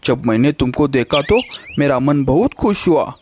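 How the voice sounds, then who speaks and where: A man's voice: mid-range voice, expressive, normal speed, darkish tone, a little hiss or hum, voice pushed down, close to an everyday voice. A man voicing a cunning male eagle in a children's cartoon story, wheedling and scheming under false friendliness. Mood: pleading.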